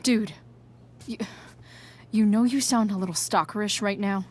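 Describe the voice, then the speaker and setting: A woman's voice: medium pitch, a little slow, a little hiss or hum, close to an everyday voice. A woman playing a guarded, sarcastic, rebellious teenage girl in a video game, hesitant and slangy. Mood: wary. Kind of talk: naturalistic video game voice acting.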